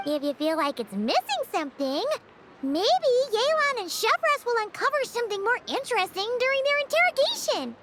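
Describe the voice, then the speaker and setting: A woman voicing a tiny, cheerful, excitable fairy-like girl companion in a video game, with a squeaky, chirpy, childlike voice. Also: normal speed, very noisy. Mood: pleased, musing. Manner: chirpy, expressive. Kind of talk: video game character dialogue. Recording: loud game music behind.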